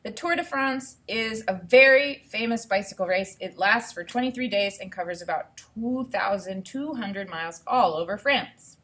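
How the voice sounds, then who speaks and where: A woman teacher reading aloud to children and thinking out loud, explaining things step by step in an instructive way. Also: mid-range voice, normal speed, few pauses. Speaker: elementary school teacher.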